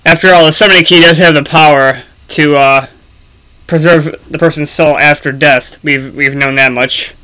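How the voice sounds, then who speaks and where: A man giving casual, unscripted gaming commentary for a video, speaking haltingly with frequent ums and pauses. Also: on the high side, steady tone, bright, forceful tone, smooth, rough recording.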